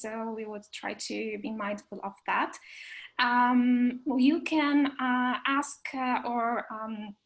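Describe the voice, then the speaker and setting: A woman hosting an online panel over a video call, speaking hesitantly with many fillers. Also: on the high side. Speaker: meetup host and moderator.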